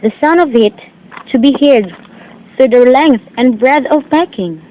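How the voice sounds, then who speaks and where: A woman's voice: high voice, a little slow, warm tone. A woman playing a dutiful, worried young mandarin's daughter in an amateur drama, formal and earnest in her delivery. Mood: earnest, explaining. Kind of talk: declaimed amateur stage-play acting. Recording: dull lo-fi amateur recording.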